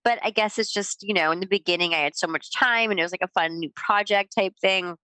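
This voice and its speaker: A woman's voice: mid-range voice, brisk, bright, forceful tone, no echo, poor audio. A young adult woman co-hosting a podcast, with a stuffed-up, sniffly nose and a rambling, filler-heavy way of talking. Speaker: podcast co-host. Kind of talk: casual chat.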